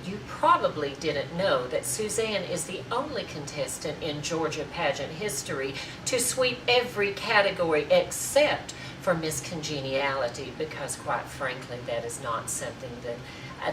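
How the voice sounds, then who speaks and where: A woman playing a proud, indignant Southern lady defending her sister in an emphatic, grandiloquent monologue. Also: low voice. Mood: icy politeness. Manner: grandiloquent, emphatic, building declamation. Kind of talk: theatrical comic tirade monologue. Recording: echoey, noisy room, distant mic.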